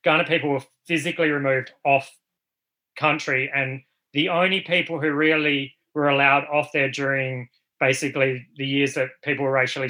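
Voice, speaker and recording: A man's voice: mid-range voice, bright, forceful tone, rough recording. A man from Australia speaking as an artist guest in a casual panel talk, thoughtful and given to fillers and restarts.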